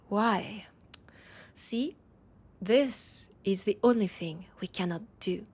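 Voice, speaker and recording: Woman playing old french-accented vampire lady, really slow n husky, wistful proud war veteran, close intimate audio drama thing. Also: medium pitch, heavily acted. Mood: wry, confiding. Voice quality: husky, breathy. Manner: slow, sighing, lingering pauses. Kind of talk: intimate scripted audio roleplay.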